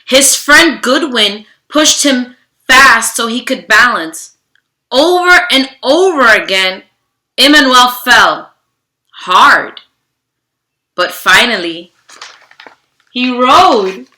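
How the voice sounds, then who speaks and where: A woman with a fairly high, bright voice speaks as a teacher reading aloud to children. She takes her time and is theatrical and expressive, addressing her listeners directly. The room adds a noticeable echo. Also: takes it slowly, long pauses. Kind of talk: children's read-aloud with animated greeting. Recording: roomy, echoing room sound.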